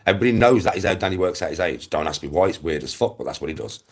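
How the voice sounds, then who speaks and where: Male, 40s, English accent, rough husky low voice; emphatic, repetitive, foul-mouthed livestream rant. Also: expressive, racing. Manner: sweary, blunt, repeats himself emphatically. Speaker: live streamer. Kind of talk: ranting casual livestream chat.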